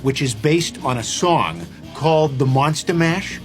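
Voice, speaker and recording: A man speaks slowly in a deadpan comic explaining style, with lively swings in pitch. Faint music plays behind him in the clip.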